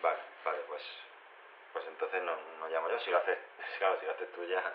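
Meek apologetic clone guy, low voice, stammery, roomy phone line, radio comedy. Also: level tone, fairly quick. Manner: timid, apologetic, stammering restarts. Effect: phone filter. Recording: echoey, noisy phone line from a room.

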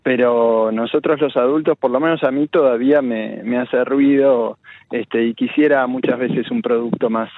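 A man with a Buenos Aires accent answers interview questions in a thoughtful, halting way, leaning on fillers as he restarts his sentences. His voice comes over a thin, phone-like line with no room sound. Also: mid-range voice, fairly even intonation, dry recording. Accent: Buenos Aires Spanish. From Argentina. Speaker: children's story author, guest. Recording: dry tinny phone-like line.

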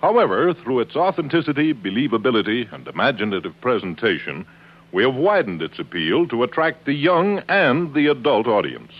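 A man announcing in a polished, declamatory 1950s radio style, reading a scripted sales pitch. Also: big pitch swings, fairly quick. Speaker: announcer pitching a program to advertisers. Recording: dull 1950s radio recording.

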